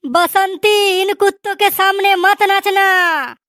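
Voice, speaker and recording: A man as a very high-pitched, stubborn cartoon landlord. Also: steady tone, takes it slowly, bright, forceful tone, full character voice. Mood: blustering. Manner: insistent, mouthy. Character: stubborn, defiant male landlord, comic cartoon hero. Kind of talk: exaggerated comic cartoon dialogue.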